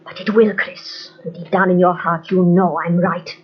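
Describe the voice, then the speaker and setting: An actress playing a possessive, jealous, vindictive wife in an old-time radio mystery, melodramatic and emphatic. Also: female voice, on the low side, level tone, normal speed, husky. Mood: cold, menacing. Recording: muffled vintage radio recording from cassette.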